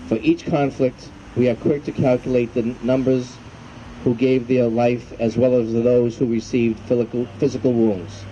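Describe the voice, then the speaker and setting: Muffled, very dark-voiced man giving formal speech. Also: mid-range voice, little pitch movement. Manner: solemn, measured reading. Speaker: veterans' group commander. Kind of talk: formal prepared ceremonial speech. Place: outdoor evening ceremony in a park. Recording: muffled, faint outdoor hum and traffic noise.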